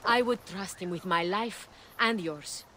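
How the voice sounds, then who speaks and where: An actress voicing a kind, resolute ally in a video game cutscene, calm and reassuring. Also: female voice, fairly low pitch, measured pace, slight background noise. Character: kind resolute woman, protective. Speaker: ally, helper.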